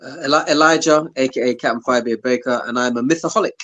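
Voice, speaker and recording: Mid-pitched London man. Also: fast talker, fairly bright tone. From Southeast London, England. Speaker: guest. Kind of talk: casual chat.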